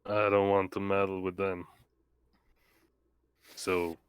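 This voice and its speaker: A man's voice: deep voice, flat delivery, slow, smooth, no echo. A man roleplaying in a tabletop game, speaking tersely and hesitantly, searching for his words.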